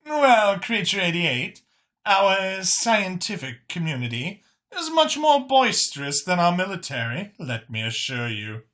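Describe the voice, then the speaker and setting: Man voicing a high, lilting, self-assured alien woman. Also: very animated, a bit bright, heavily acted. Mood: playful, friendly. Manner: measured, articulate, self-satisfied. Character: self-assured female alien council chair, cerebral and gracious. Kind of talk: audiobook character acting.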